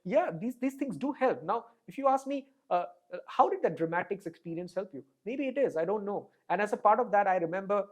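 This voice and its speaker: A man with an Indian English accent, a podcast guest telling stories in a casual interview, fluent and animated, often adding "right?" and "you know". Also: sits high, a little fast, few pauses. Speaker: podcast guest, design executive.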